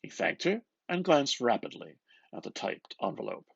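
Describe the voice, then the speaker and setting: A man gives an expressive, theatrical reading of a literary novel, moving between murmured asides and louder phrases. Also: medium pitch, normal speed, gravelly, breathy edge, dry recording, highs cut off. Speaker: reader of a novel.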